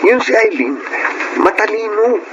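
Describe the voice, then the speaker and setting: A man's voice: high voice, animated, measured pace, dull audio, background noise. A man playing a mocking, scheming young student in a Filipino radio drama, with a smug, cajoling manner. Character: sly, mocking young male student, peer-pressuring schemer.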